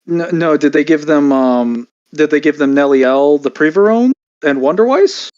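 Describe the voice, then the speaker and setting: A man co-hosting a casual stream, joking, laughing often and cutting in with quick repeated words. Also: a little high, expressive, darkish tone, clear, resonant tone. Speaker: stream co-host. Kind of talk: casual banter reading a blog. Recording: slightly dull lo-fi sound.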